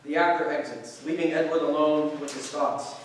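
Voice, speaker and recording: Male narrator for a staged reading, somewhat high voice, distant from the mic. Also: steady tone, moderate pace. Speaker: stage directions reader. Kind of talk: scripted narration. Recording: echoey noisy hall, distant microphone.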